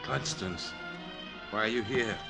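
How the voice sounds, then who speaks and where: Casting: low-pitched male amnesiac on the run, brooding and hesitant, vintage radio drama with music. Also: vocal fry. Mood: protective, pained. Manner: halting, brooding. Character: tormented amnesiac man, fugitive, guilt-ridden and lovestruck. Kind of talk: 1940s radio drama acting.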